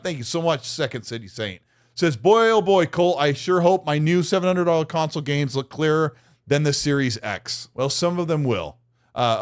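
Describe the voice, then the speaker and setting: Upbeat podcast host with a higher voice. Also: male voice. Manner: upbeat, quick thank-yous to viewers. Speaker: podcast host reading super chats. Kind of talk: casual gaming podcast chat.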